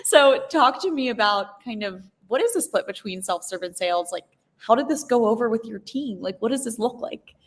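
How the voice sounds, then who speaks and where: A woman's voice: fairly high pitch, expressive, normal speed, breathy edge. Informal, enthusiastic woman interviewer. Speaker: interviewer hosting an online business discussion. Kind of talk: conversational interview.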